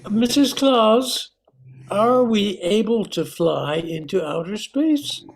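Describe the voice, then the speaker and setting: A woman's voice: medium pitch, lively intonation, slow, husky, exaggerated voice. A woman playing an innocent, eager, polite reindeer with earnest delivery in an amateur Christmas radio play.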